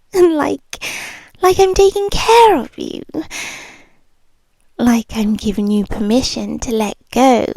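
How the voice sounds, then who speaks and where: British woman acting as a teasing yandere bartender girlfriend for ASMR roleplay; high, very slow, breathy and whispery, close-miked. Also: dark tone, dry recording, heavily acted. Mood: possessive, coaxing. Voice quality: breathy, often dropping into whisper. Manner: slow, teasing, lingering pauses. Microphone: close-up ASMR microphone.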